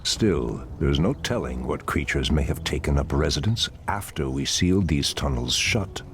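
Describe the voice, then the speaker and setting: Very deep, ancient elf archdruid. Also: male voice, animated, slight background noise. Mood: solemn, commanding. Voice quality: very deep, gravelly. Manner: slow, grave, archaic formal speech. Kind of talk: dramatic fantasy game acting. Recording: orchestral game music behind voice. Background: loud music.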